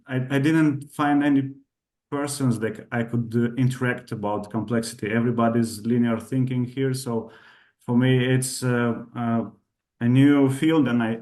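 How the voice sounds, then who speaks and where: A mid-pitched man with a Romanian accent asks his question politely. He stops often with "uh" and restarts his phrases, speaking English as a non-native over a video call. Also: fairly even intonation, a little mellow. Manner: hesitant, many "uh" pauses and restarts, polite. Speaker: audience member asking a question.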